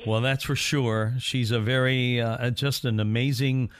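A man's voice: medium pitch, steady tone, unhurried, clean tone, dry recording. A man hosts an interview in an earnest, affirming way. His voice sits in a middle range. The recording is dry and close, with no room sound. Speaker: interview host. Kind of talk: casual interview chat.

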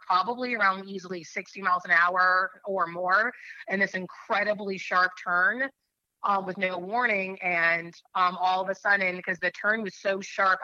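Woman, thirties, attorney guest, earnest, rambling, slightly low, muffled dry recording. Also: bright, forceful tone, dry recording. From New Hampshire. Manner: earnest, rambling with many um fillers. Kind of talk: faith interview, personal story.

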